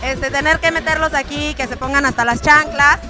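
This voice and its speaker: A woman's voice: high voice, steady tone, normal speed. A woman, a guest who organizes the party, joking and mocking herself. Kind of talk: casual chat. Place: outdoor pool party. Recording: outdoor party with loud music and crowd.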